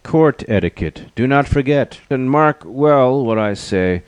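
A man's voice: medium pitch, expressive, measured pace, smooth, no room sound, pitched above the performer's own voice, natural voice. A man voicing a pompous, imperious lion king in a declamatory, commanding manner.

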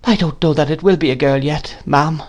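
A man's voice: mid-range voice, expressive, fairly quick, pitched above the performer's own voice. A man voicing a meek, fragile, anxious young mother in an audiobook, timid and faltering. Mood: timid, innocent. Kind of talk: theatrical audiobook character voice.

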